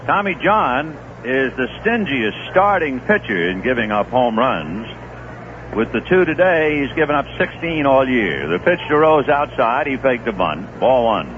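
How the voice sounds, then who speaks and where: A man's voice: medium pitch, unhurried. Radio baseball announcer guy, tinny old broadcast, lots of hiss, voice jumps all over. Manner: lively, rising with big plays. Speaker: play-by-play announcer. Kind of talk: live baseball radio commentary.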